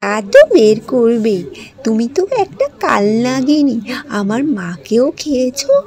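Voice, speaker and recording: A woman voicing a hot-tempered but kind village girl in a cartoon, blunt and defiant. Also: on the high side. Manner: blunt, defiant, sharp-tongued. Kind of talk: cartoon voice acting.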